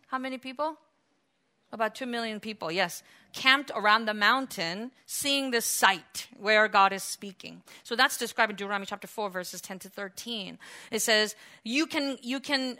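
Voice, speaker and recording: A woman preacher giving an animated, conversational sermon, full of restarts and asides. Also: mid-range voice.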